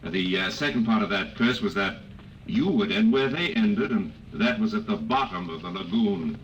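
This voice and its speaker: A man playing an uneasy, superstitious navy technician who talks haltingly, acted in 1950s radio drama style on an old muffled recording. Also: fairly low pitch, distant mic, a little hiss or hum, natural voice. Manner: hesitant, halting with uh's.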